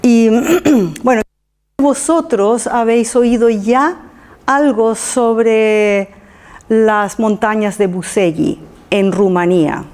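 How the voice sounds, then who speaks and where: A woman who is not a native speaker, giving an informal public talk and often halting to search for words. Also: fairly high pitch, darkish tone. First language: not Spanish.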